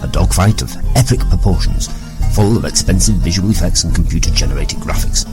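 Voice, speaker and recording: A man's voice: low-pitched, fairly quick, lightly acted. A man playing a dry, pedantic narrator of a comic time-travel serial, with mock-grand scripted delivery. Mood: droll, mock-grand. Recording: music behind narration.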